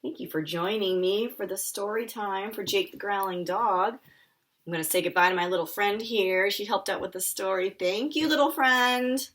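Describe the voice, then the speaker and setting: A woman, an author, reading her children's picture book aloud and talking it through for a young audience, with expressive delivery. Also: mid-range voice, normal speed. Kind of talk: live children's story time reading and friendly explanation.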